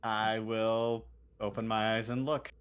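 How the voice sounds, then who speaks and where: A man's voice: on the low side, little pitch movement, takes it slowly, smooth. A man playing a tabletop roleplaying game, chatting casually with the group.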